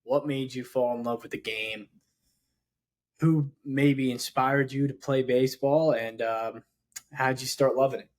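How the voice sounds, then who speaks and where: A man's voice: medium pitch, fairly even intonation, unhurried, a little mellow. A man hosting a podcast, asking loose, conversational questions with plenty of fillers. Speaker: podcast host. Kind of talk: casual interview.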